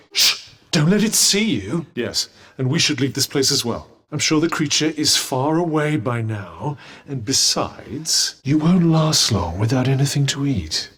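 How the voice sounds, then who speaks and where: Haughty talking enchanted armguard for a video game: British, sardonic, lively swooping delivery, slightly husky edge. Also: male voice, mid-range voice. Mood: dry, cautioning. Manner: dry, pompous, wry. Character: sardonic, haughty male talking magical vambrace companion. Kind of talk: video game companion dialogue.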